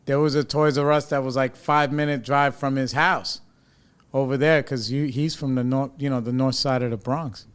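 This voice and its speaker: A man's voice: mid-range voice, fairly even intonation, moderate pace, clear, resonant tone. A man hosting a podcast, chatting casually and laughing easily with his guests. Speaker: podcast host. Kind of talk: casual interview chat.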